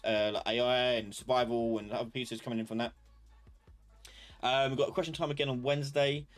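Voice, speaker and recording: A man hosting a live online class, talking informally and thinking aloud as he rambles through his points. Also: medium pitch. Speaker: live class instructor and channel host. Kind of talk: casual live-stream tutorial talk.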